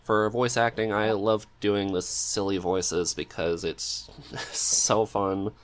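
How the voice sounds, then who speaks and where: A man with a low voice talks as a guest in a relaxed interview. He thinks aloud, a little hesitant, in an easygoing conversational way. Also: slow. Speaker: podcast guest, script writer and amateur voice actor. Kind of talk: casual interview chat.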